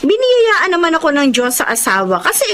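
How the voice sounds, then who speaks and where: A woman's voice: high-pitched, huge pitch swings, a little fast, a bit bright, heavily acted. A woman acting a devoted, trusting young Filipino wife who narrates and plays out her story in a radio drama. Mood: sweet, proud.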